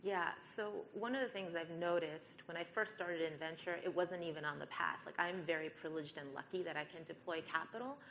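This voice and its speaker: A woman venture capital investor explaining her work on a live panel in a steady manner, with frequent fillers. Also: lowish voice, brisk, hardly any pauses, slight background noise, poor audio.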